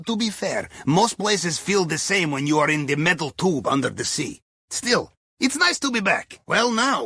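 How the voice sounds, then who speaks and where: A man's voice: high voice, sharp tone, breathy edge, no echo, clean studio sound, heavily acted. A man playing an eccentric, cheerful Russian submariner in a video game, guiding a heist with wry, conspiratorial chatter and quaint non-native phrasing. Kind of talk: video game character voice lines, mission guidance over comms.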